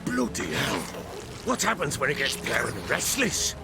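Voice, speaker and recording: An actor playing a rough, guilt-ridden middle-aged lord and father in a video game, blunt and heavy in delivery, with a gruff voice. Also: medium pitch, huge pitch swings, moderate pace, over music. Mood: alarmed. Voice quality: gravelly, gruff.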